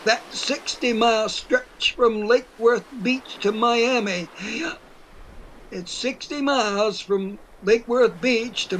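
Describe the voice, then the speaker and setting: A man's voice: extremely high pitch, a bit bright, a little hiss or hum, full character voice, poor audio. A man playing a folksy, stubborn man in his eighties from New Hampshire, rambling through his tale in a rural New England dialect for an audio play. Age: 80s. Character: folksy, stubborn eighty-four-year-old New Hampshire whiskey drinker. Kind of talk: first-person audio play storytelling.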